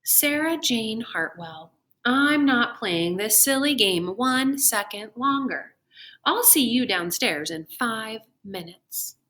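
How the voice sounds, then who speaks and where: A woman's voice: on the high side, lively intonation, slow pace, bright, forceful tone, exaggerated voice. A woman reading a storybook as a coaxing, cheerful man who chides and dismisses worries. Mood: exasperated. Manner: coaxing, chiding. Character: coaxing, cheerful husband, Mister Hartwell, firmly dismissing worries.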